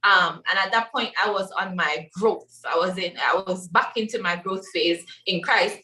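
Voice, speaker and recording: A woman's voice: mid-range voice, normal speed, bright, forceful tone, reverberant, recorded from a distance. A woman from Belize moderating a panel discussion in a chatty manner with many fillers.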